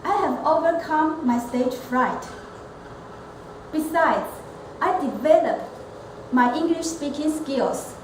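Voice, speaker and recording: A woman from Taiwan giving a prepared club speech. She speaks in a measured, earnest way and sometimes restarts a word. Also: high voice. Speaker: elementary school teacher and club member. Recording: echoey room with steady background noise.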